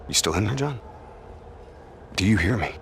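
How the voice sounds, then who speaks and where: An actor playing a hot-headed, profane soldier in a cinematic video game, with a gruff, emphatic delivery. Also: male voice, lowish voice, animated, normal speed, fairly bright tone, a little hiss or hum. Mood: pleading. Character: hot-headed, profane male soldier pleading with lost comrade.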